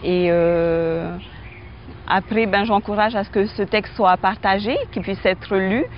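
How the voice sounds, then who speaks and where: A woman from French Polynesia talks thoughtfully at a middle pitch, often pausing and restarting her sentences. The recording sounds slightly dull, with faint noise behind her. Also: steady tone. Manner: hesitant, many fillers and restarts. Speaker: French teacher and dance-show writer. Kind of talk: reflective interview monologue.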